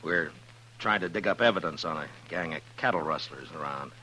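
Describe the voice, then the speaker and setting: A terse old west marshal, deep forceful voice, 1950s radio western w/ hum and noise. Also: male voice, a little fast. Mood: careful, hesitant. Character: steady, laconic male frontier marshal of the Old West. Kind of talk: 1950s radio drama acting. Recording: humming, noisy 1950s radio recording.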